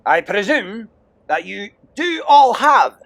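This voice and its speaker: A man voicing a loud, overbearing Viking drill instructor, bellowing and pompous with heavy sarcasm, in an audiobook. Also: sits high, big pitch swings, takes it slowly, gravelly, voice pushed up, full character voice. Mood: stern instructing.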